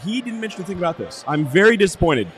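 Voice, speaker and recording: A man co-hosting a casual business podcast, joking playfully, his voice hoarse and going out. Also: sits high, very animated, fast, fairly bright tone, a little hiss or hum. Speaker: podcast co-host. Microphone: cheap soundboard.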